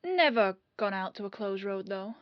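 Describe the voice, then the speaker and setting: A woman playing a dogged police detective in an audio roleplay, questioning in a folksy, falsely casual way with pauses. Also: medium pitch, animated, dry recording, lo-fi sound, close to an everyday voice. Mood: casual suspicion. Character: dogged female police detective, casually probing, quietly suspicious.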